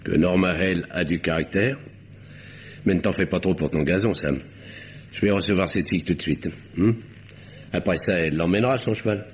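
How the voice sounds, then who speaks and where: An actor playing a shrewd, firm country doctor in a vintage radio drama, questioning insistently. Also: male voice, sits low, brisk, noisy background, natural voice. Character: shrewd country doctor, middle-aged man, probing and firm. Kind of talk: 1950s French radio drama acting. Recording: dull old radio recording.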